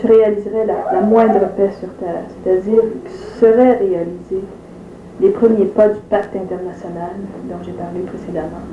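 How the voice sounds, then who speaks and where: A woman speaking earnestly in an interview, pausing with many fillers. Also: medium pitch, fairly even intonation, warm tone, a little hiss or hum. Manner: hesitant, full of fillers. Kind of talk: earnest testimony. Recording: roomy room echo.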